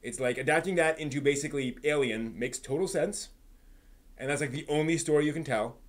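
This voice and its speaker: Man chatting off the cuff on a livestream. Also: fairly high pitch. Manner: halting, thinking aloud, repeats and rephrases. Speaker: livestream host. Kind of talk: casual live-stream chat, answering viewers' comments.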